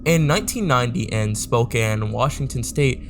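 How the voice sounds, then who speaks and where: A man reading a scripted explainer narration in a steady, measured way, slowing down to stress key terms. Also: medium pitch. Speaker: YouTube horror explainer. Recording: narration with clear music underneath.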